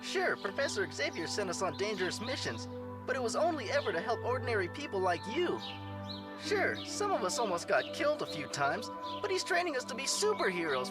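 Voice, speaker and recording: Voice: medium pitch, lightly acted. A performer playing an earnest, defiant fifteen-year-old boy superhero in a motion comic. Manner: earnest, plain-spoken.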